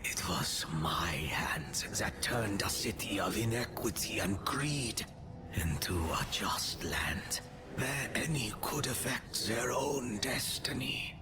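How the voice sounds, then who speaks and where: A man voicing the solemn, weakened spirit of an ancient conqueror king in a fantasy game, breathy, grave and stately. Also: lowish voice, animated, over music. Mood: solemn pride. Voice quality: breathy, whispery. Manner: grave, dramatic, slow and stately.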